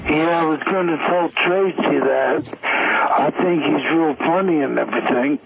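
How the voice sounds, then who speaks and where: Blunt guy on a call-in show, gravelly higher voice, muffled noisy phone. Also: measured pace. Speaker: regular radio caller. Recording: muffled phone line with loud noise.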